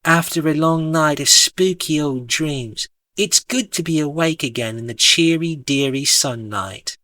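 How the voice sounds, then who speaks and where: A man's voice: on the high side, a little slow, bright, forceful tone, no echo. A man voicing a cheery, dreamy, genteel woman in a chirpy, gushing, sing-song manner for a story.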